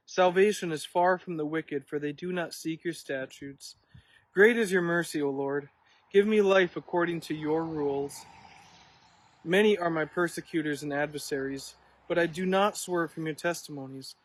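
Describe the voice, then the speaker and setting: A man's voice: fairly high pitch, normal speed. A man reading verses of scripture aloud. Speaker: Bible reader.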